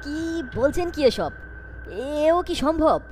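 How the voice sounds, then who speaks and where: A woman's voice: high-pitched, expressive, slow pace, darkish tone, a little hiss or hum. A woman voicing a young, anxious storyteller in a cartoon ghost story, dramatic, wondering and fearful. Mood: frightened. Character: young, anxious storyteller caught in eerie events. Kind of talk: scripted cartoon ghost-story narration and dialogue.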